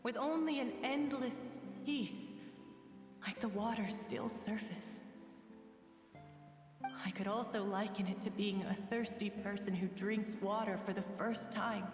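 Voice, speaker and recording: A woman's voice: medium pitch, a little slow, lightly acted. A woman voicing a serene, wistful water spirit in a video game, speaking in poetic, formal phrases. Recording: roomy long reverb, distant, music behind.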